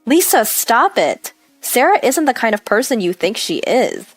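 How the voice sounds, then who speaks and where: A protective young husband in an audio story, read by a woman narrator. He speaks earnestly and sternly, with animated intonation. Faint music plays behind the dry sound. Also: on the high side, moderate pace, no room sound, voice pushed up. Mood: scolding. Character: protective younger husband scolding his rude sister.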